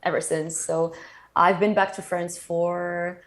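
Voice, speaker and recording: A woman in her thirties from Morocco, a guest chatting casually in an interview, talking lively and laughing often. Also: fairly low pitch, slow pace.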